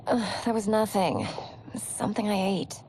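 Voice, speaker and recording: A woman's voice: mid-range voice, big pitch swings, unhurried, a little mellow, a little hiss or hum, low-quality recording. A woman playing a defensive, petulant young princess in a video game, agitated and rebellious. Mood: dismissive. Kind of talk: video game cutscene acting. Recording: faint music behind the voice.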